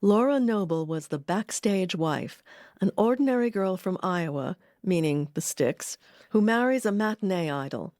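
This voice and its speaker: A woman's voice: mid-range voice, animated, normal speed, a little mellow, dry recording, clean studio sound. A woman reading scripted documentary narration with wry personal asides.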